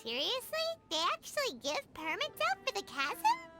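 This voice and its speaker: Squeaky, very high-pitched little fairy companion. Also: female voice, wildly swooping pitch, normal speed, bright, forceful tone, exaggerated voice. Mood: curious. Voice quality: squeaky childlike voice. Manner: perky, third-person self-reference. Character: tiny floating fairy companion, childlike, excitable, easily spooked. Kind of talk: animated game voice acting. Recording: music behind the voice. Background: game background music.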